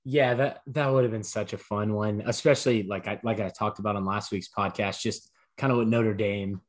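A young man co-hosting a sports podcast, relaxed, breaking down plays with numbers. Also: on the low side, normal speed. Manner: relaxed, stat-heavy breakdown. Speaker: podcast co-host. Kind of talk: casual sports podcast analysis.

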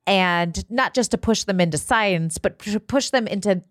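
A woman's voice: mid-range voice, normal speed, dry recording, studio quality. A woman guest-hosting a podcast in casual, conversational talk with little check-in questions.

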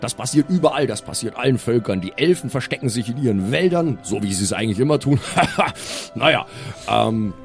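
A man with a medium-pitched voice talks as a player and host in an improvised tabletop game. His delivery is casual and conversational, and quiet music runs under it. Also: lively intonation, a little fast. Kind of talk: improvised in-character talk and casual banter.

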